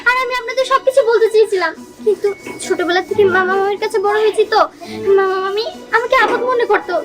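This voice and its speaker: A rejected young bride acted by a woman speaks in a very high voice. She pleads with her in-laws in tearful melodrama, with loud music over the words. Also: quick pace. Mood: sorrowful confession. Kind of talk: melodramatic short-film acting.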